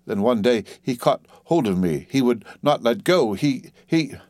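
A man voicing a desperate, ashamed young wife in an audiobook, pleading and halting as the words come out. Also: mid-range voice, lively intonation, a little mellow, no echo, natural voice. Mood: halting, fearful confession. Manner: wailing, halting, gasping, repeating pleas. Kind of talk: dramatic audiobook character reading.

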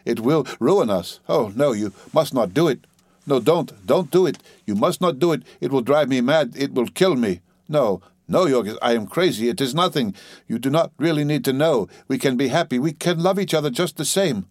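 A man voicing a desperate, ashamed young wife in an audiobook, pleading and halting as the words come out. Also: mid-range voice, darkish tone, dry recording, natural voice. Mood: wailing, pleading. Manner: wailing, halting, gasping, repeating pleas. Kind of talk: dramatic audiobook character reading.

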